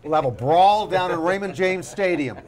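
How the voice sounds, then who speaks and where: A man's voice: high voice, expressive, normal speed, a little mellow. A man giving conversational sports commentary as a panelist, in a folksy, rambling way.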